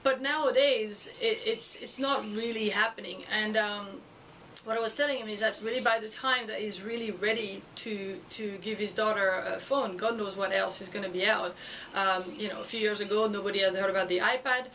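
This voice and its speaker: A woman with a French accent, a school educator talking casually to her camera in a halting way, with many fillers and restarts. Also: medium pitch, steady tone, bright, forceful tone, recorded from a distance, slight background noise, poor audio. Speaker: school educator and video blogger. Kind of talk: casual vlog talk to camera. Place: outdoors or home setting in Florida. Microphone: camera mic.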